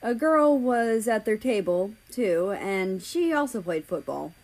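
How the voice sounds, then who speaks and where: A woman's voice: mid-range voice, big pitch swings, a little slow, a little mellow, low-quality recording. A woman narrating fan fiction in an audiobook style, acting out the dialogue between passages.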